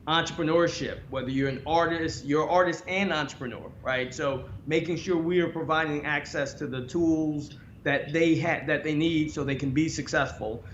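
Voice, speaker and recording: Corporate exec guy, smooth n measured, bit high-pitched, muffled echoey call w faint hiss. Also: steady tone, a bit bright. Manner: polished, measured corporate speaker. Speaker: bank executive guest. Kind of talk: panel answer. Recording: roomy, dull lo-fi call with faint noise.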